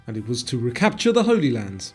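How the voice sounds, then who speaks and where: A man reading scripted quiz questions and answers as a narrator, in a measured way. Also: mid-range voice, huge pitch swings, breathy edge. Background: music.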